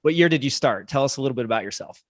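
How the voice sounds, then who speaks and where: A man's voice: mid-range voice, expressive, very fast, no room sound, clean studio sound. Chatty American man hosting a podcast. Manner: friendly, chatty, many fillers. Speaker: podcast host. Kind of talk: casual interview hosting.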